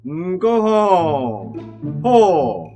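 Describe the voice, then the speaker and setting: Blustery Taiwanese army chief, higher-pitched. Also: male voice, huge pitch swings, very slow, over music, heavily acted. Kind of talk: radio drama acting.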